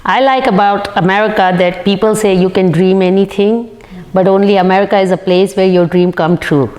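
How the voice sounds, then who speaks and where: A woman in her fifties with a Pakistani accent, answering interview questions in halting, non-native English. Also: medium pitch, fairly even intonation, darkish tone. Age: 50s. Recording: reverberant hall-like room.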